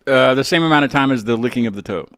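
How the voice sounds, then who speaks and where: A man's voice: medium pitch, quick pace, fairly bright tone, no echo, studio quality. A man hosting a casual chat, joking and rambling as he goes. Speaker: podcast host interviewing a band.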